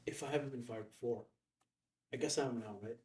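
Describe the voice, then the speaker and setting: A man's voice: mid-range voice, fairly quick, a little mellow, close to an everyday voice. A man playing a nervous, deferential young reporter in a film table read, hesitant and awkward. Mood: awkward, uneasy. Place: room at a filmed table read. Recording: roomy, distant microphone.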